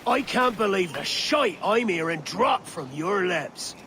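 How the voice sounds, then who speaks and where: An actor voicing a fiery, defiant pirate leader, scornful and impassioned, in a video game cutscene. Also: male voice, high-pitched, expressive, a little slow, pushed and bright, slight background noise, heavily acted.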